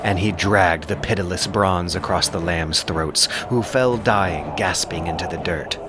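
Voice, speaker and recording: A man narrating an epic audiobook in a dramatic, scripted style with theatrical, deliberate emphasis. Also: sits low, over loud music. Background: ambient sound effects.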